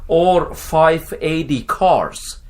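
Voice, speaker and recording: A man's voice: on the high side, very slow, a little hiss or hum. A man from Afghanistan, a car dealer, chatting casually on radio and often repeating his words. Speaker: used car dealer, new radio show host.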